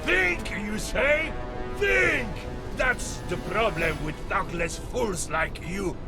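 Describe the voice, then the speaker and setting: An actor playing a vain, scheming megalomaniac count in a video game, declaiming in a bombastic, grandiose way. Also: male voice, high voice, expressive, takes it slowly, gravelly, loud music behind the voice, heavily acted. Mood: grandiose, fervent. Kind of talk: theatrical video game villain acting.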